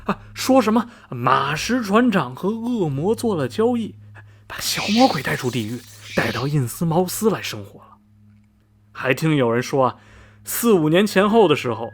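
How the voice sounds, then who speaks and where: Animated, gossipy middle-aged townsman played by a man. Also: on the high side. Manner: gossipy, sighing exclamations, drawn-out dramatic phrasing. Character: gossipy middle-aged small-town Vermont man, superstitiously repelled yet skeptical. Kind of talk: radio drama acting, animated storytelling.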